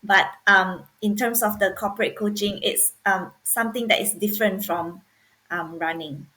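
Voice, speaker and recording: A woman's voice: mid-range voice, steady tone, moderate pace, bright, forceful tone, poor audio. A woman hosting a podcast, interviewing a guest and backing him up with short acknowledgements. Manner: attentive, frequent short backchannels. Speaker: podcast host.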